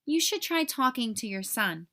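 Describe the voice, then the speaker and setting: Woman reading as an earnest, high-voiced young boy. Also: big pitch swings, normal speed, pushed and bright, heavily acted. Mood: gentle. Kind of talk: children's storybook read-aloud.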